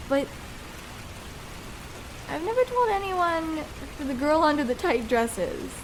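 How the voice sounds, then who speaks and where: A very high, slow young female voice over clearly audible rain noise. A woman plays a shy, vulnerable first-time escort in an intimate ASMR roleplay. She is tender and hesitant, feeling her way through each phrase. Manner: hesitant, halting, soft-hearted. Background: rain falling.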